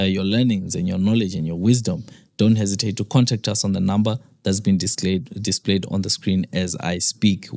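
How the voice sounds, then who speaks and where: A very deep, gravelly man with a flu, hosting a podcast in a chatty, upbeat way. His voice sounds dry and close, with little room echo. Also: a little mellow. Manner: upbeat, chatty promo talk with fillers. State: has a flu, deep hoarse voice. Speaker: podcast host. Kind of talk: podcast interview. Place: studio.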